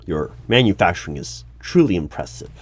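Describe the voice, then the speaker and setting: A man playing an awkward, officious hiring manager in an ASMR interview roleplay, speaking formally with halting stammers and over-polite phrasing. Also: low voice, sing-song, slight background noise. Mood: awkwardly flattering. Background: computer keyboard typing.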